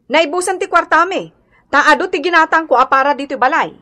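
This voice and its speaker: A woman's voice: high-pitched, lively intonation, fairly quick, sharp tone. A woman acting a stingy, curt and dismissive daughter-in-law in a radio comedy drama, with an Ilocano accent. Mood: curt, grudging.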